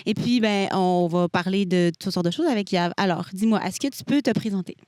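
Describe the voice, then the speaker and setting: A woman's voice: mid-range voice, a little fast, darkish tone, no room sound, studio quality. Friendly Québécois woman interviewer. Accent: Québécois French. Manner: friendly, encouraging, asks open questions. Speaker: podcast host and interviewer. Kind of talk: casual interview. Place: outdoors in a school park at a festival.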